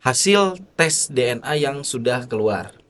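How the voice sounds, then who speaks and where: A man hosting a podcast, introducing and reacting to clips in a slow, hesitant way with frequent fillers. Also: medium pitch, expressive, a bit bright, slight background noise. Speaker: podcast host. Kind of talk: casual reaction podcast chat.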